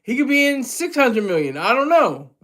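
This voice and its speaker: A man's voice: very high voice, very animated, normal speed, fairly bright tone. A man hosting a casual livestream, chatting to his audience in a loose, rambling way with frequent restarts and chuckles. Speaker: livestream host reading chat.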